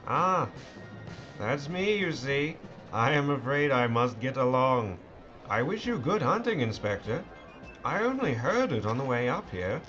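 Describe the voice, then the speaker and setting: A haughty British lord of an audio drama, acted by a man, slow and grandly pompous, with pitch that swings widely. He sounds evasive and clipped, over music in a slightly dull recording. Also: sing-song, fairly bright tone. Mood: breezy, evasive. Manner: pompous, clipped aristocratic speech. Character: haughty, evasive English lord and government minister. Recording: dull, band-limited sound with music behind.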